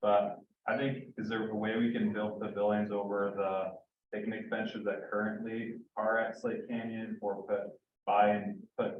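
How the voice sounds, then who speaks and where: A man with a lowish voice, tentatively thinking out loud at a community meeting. He is heard from across an echoey room, and the sound is a bit dull, with faint noise underneath. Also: level tone, unhurried, recorded from a distance. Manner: tentative, brainstorming aloud. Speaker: resident at meeting.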